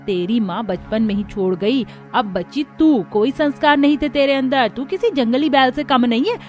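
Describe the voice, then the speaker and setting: A woman voicing a cruel stepmother in an animated family melodrama, scolding and taunting. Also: high-pitched, moderate pace, faint music behind. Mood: scornful scolding. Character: cruel, scornful stepmother bullying her stepdaughter.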